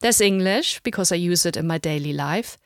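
A woman, German her first language, talks through her language learning in an unhurried, explanatory way. Her voice sits a little low. The recording is close and dry, with no room sound. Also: expressive, no room sound. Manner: measured, explanatory, slows to spell out terms. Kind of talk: reflective podcast narration.

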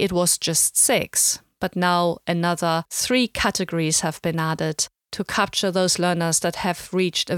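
A woman, German her first language, talks through her language learning in an unhurried, explanatory way. Her voice sits a little low. The recording is close and dry, with no room sound. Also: no echo. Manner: measured, explanatory, slows to spell out terms. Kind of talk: reflective podcast narration.

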